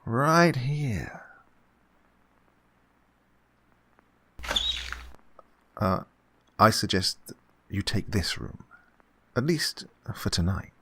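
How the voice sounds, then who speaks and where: A man's voice: lowish voice, gravelly, fairly bright tone, exaggerated voice. A man playing a falsely genial, sinister employer in a radio suspense drama, over-courteous, unctuous and insistent. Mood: insistent, coaxing. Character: falsely genial, sinister male employer luring a young woman. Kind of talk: old-time radio suspense drama acting.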